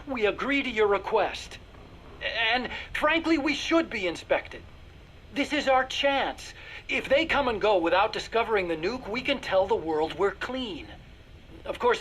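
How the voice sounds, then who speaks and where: An actor playing an earnest, bookish male engineer in a video game, with a light, high voice and a slightly nervous, persuasive manner. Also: a bit bright, a little hiss or hum, low-quality recording.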